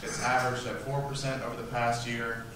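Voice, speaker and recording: A soft-spoken man moderating a debate, explaining the rules and reading questions with frequent fillers. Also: medium pitch, flat delivery, a little fast. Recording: echoey hall, from far off, noisy.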